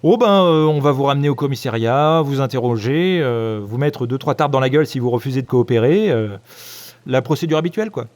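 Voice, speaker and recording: A man's voice: mid-range voice, lively intonation, brisk, a little mellow, lightly acted. A man playing an exasperated, chatty police inspector in a French comedy audio drama. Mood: offhand. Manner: theatrical, swinging between indignant and offhand.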